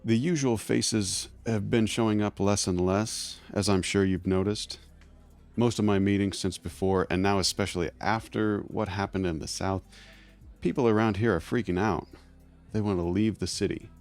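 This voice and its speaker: A man playing a guarded, condescending underground fixer in an audio drama, speaking in a measured, formal way. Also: lowish voice, steady tone, darkish tone, soft background music, close to an everyday voice. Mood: grave, guarded. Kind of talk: scripted audio drama acting.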